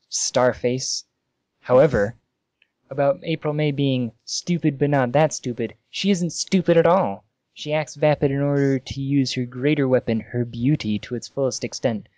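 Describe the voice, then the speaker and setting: A man casually reading amateur fan fiction aloud on a podcast, halting and amused, with asides. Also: medium pitch, darkish tone, dry recording.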